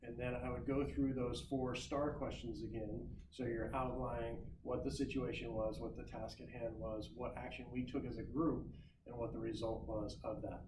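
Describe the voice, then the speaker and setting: A man's voice: mid-range voice, level tone, moderate pace. A man speaking as a guest recruiter in a class, giving career advice in a loose, conversational way with lots of ums and restarts. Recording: roomy, distant mic, noisy.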